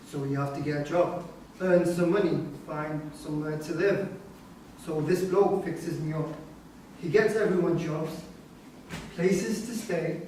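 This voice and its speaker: An actor playing a young, street-hardened migrant prisoner in a staged reading, plain-spoken, with non-standard grammar and a defensive, pragmatic air. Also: male voice, a little high, a little mellow, close to an everyday voice. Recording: distant mic in echoey room, noisy.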